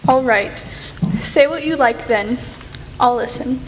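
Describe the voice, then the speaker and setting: A woman's voice: sits high, slow. A young woman playing a headstrong, impulsive young writer in a radio play, with an emphatic, dramatic delivery. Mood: reluctant, gentle. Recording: echoey hall with long reverb, noisy.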